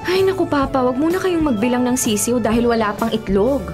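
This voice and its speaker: An actress playing a guarded, bitter daughter wary of love in a Filipino radio drama, with an expressive, sassy delivery. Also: female voice, fairly high pitch, a little fast, music in the background, natural voice. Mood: cheerful.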